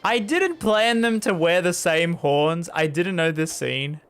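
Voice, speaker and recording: A man's voice: on the high side, lively intonation, measured pace, a bit bright, clean tone. A man giving casual, chatty game commentary on a live stream, rambling and easily amused. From Australia. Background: game music.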